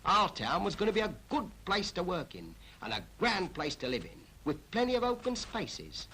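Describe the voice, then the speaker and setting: An actor playing a cheerful, chatty everyman narrating a 1940s animated public information film in a folksy, colloquial way. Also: sits high, normal speed, heavily acted, poor audio. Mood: chatty, proud. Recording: old 1940s film soundtrack, music behind.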